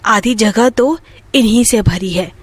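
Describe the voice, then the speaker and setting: A woman's voice: on the high side, a little slow, slightly rough, no echo, pitched below the performer's own voice. A woman voicing a spoiled, rude young city wife in a storybook tale, haughty and complaining. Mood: disgusted. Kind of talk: Hindi bedtime moral story dialogue.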